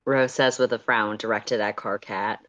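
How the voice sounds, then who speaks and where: Mid-pitched narrator of sex unclear. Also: fairly even intonation, normal speed, fairly bright tone, dull audio. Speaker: story narrator and stream co-host. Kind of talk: fan fiction narration and casual chat.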